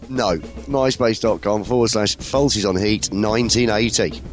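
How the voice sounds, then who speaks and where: A man hosting an internet radio show, husky and throaty this week, joking in laid-back banter. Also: lowish voice, moderate pace, faint music behind. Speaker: radio host. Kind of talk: casual radio chat.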